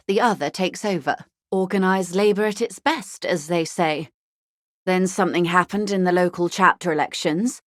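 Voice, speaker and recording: An actress playing a poised, well-bred corporate negotiator in a video game, speaking in an articulate, measured, drily ironic way. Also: female voice, fairly low pitch, no room sound, studio quality, close to an everyday voice. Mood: composed, businesslike. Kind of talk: scripted video game dialogue.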